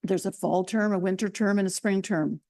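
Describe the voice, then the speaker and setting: Mellow-voiced woman introducing a talk. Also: medium pitch, steady tone, normal speed. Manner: chatty, with restarts and fillers. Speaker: host introducing the speaker. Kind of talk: event introduction.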